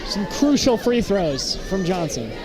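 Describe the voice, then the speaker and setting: An American man doing live basketball play-by-play in short, clipped phrases that turn excitable on big plays. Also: sits high, a little slow, rough recording. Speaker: play-by-play commentator. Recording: very loud gym crowd noise behind. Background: loud crowd and bouncing basketballs.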